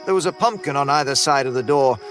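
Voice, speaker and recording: A man narrating a first-person horror story in a relaxed, folksy way, over faint music. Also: medium pitch, fast.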